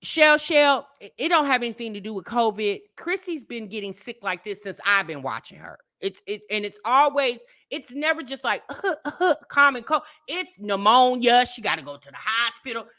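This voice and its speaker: A woman's voice: on the high side, very animated, moderate pace, few pauses, bright, forceful tone. A woman hosting a reaction channel, chatting casually to her viewers, talking straight at them and repeating herself for emphasis.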